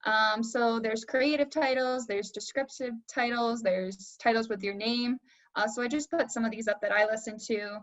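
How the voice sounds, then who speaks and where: A woman's voice: a little high, fairly even intonation, normal speed, low-quality recording. A woman moderating a panel and casually presenting in an informal, conversational way.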